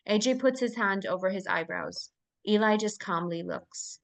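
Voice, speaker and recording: A woman's voice: mid-range voice, very animated, normal speed. A woman reading scene directions as narrator at a live screenplay table read.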